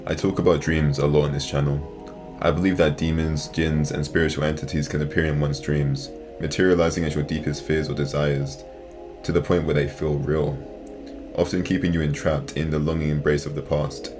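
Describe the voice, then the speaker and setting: A man's voice: rumbling low, little pitch movement, fairly quick. A man reading scripted, analytical narration as the host of a video essay. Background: music.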